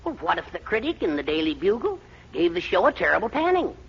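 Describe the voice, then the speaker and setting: An actor playing a fussy, henpecked middle-aged American husband and eager schemer, blustering and hesitant in 1940s radio comedy style. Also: male voice, sits high, fast talker, vocal fry, slightly rough, exaggerated voice. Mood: eager scheming. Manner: excitable, blustering, hesitant stammers. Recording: dull, noisy 1940s radio recording.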